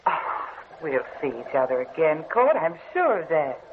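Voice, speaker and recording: A woman's voice: sits low, big pitch swings, normal speed. An actress playing a tender, anxious young woman in love, with earnest, emotional old radio melodrama delivery. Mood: hopeful, relieved. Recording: tinny, hissy old radio recording.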